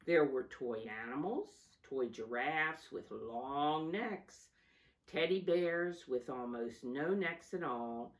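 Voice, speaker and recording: Slow, low-voiced woman reading to children. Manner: deliberate, instructive, stresses and draws out key words. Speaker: teacher reading a storybook aloud to young children. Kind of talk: read-aloud storytime with simple asides to children. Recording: voice distant from the microphone.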